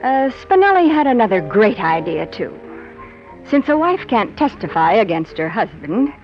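A woman's voice: mid-range voice, sing-song, moderate pace, highs cut off, lightly acted. An actress playing a worldly young nightclub showgirl, coy and guarded, in 1940s radio noir style. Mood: wry, confessional. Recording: band-limited 1940s radio with music.

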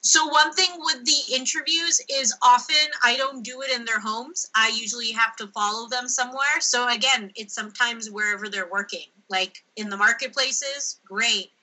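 A woman's voice: a little high, normal speed, hardly any pauses, low-quality recording. A woman speaking as a researcher guest in an interview, talking animatedly, laughing now and then and acting out what people say. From United States. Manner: animated, laughs, acts out quoted speech. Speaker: linguistic anthropologist guest. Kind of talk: conversational interview answers.

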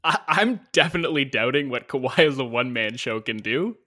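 A man's voice: mid-range voice, expressive, a little fast, sharp tone. A man co-hosting a sports podcast, chatting casually in a teasing, emphatic and opinionated way. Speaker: podcast co-host.

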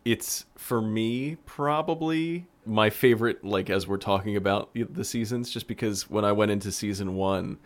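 A man, a show producer, chatting casually and telling anecdotes in an animated way. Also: on the low side, moderate pace, hardly any pauses. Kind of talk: casual chat, anecdotal recap.

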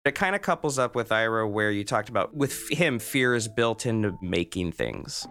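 A man's voice: fairly low pitch, lively intonation, moderate pace, bright, forceful tone. A man talking conversationally as the host of a podcast.

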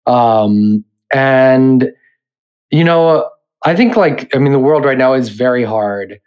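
A man speaking as an interview guest, reflecting casually and earnestly, often halting to search for his words. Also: medium pitch, clear, resonant tone, muffled recording. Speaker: podcast guest, theater and film creative.

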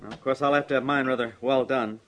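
A man's voice: mid-range voice, fairly even intonation, fast talker, fairly bright tone, lightly acted. An American man playing a fussy, anxious, apologetic office worker in a 1950s radio drama. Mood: eager, chatty. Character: fretful, apologetic male office worker fussing over his ulcer. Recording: noisy vintage radio recording.

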